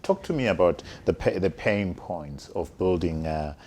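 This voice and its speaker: A man's voice: deep voice, expressive, moderate pace, darkish tone, breathy edge. A man hosting an interview, asking short prompting questions and giving brief backchannels. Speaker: interview host.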